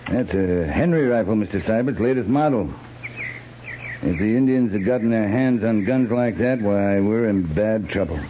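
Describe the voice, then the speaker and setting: An actor playing a stern, resolute cavalry captain in a 1950s radio western, speaking in a clipped, grave, commanding way. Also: male voice, on the low side, moderate pace, lightly acted. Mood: grave, controlled. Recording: muffled, noisy 1950s radio recording.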